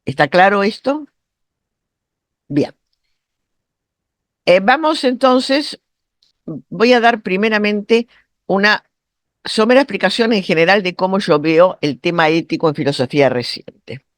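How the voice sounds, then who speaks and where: A man lectures to a university seminar in a fairly high male voice, recorded with little room sound. He explains step by step, halting and rewording as he goes. His throat is sore, so speaking takes some effort. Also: lively intonation, fairly bright tone, no room sound. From Argentina. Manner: didactic, halting, often rephrasing and checking understanding. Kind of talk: academic lecture, spoken off the cuff.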